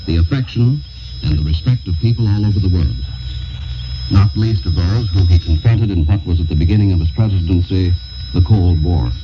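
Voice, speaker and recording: A man reading a solemn, measured, formal editorial in the style of a 1960s radio broadcast, over shortwave. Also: sits low, highs cut off, music in the background. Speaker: radio commentator and announcer. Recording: noisy, band-limited 1960s shortwave radio recording. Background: loud shortwave static and noise.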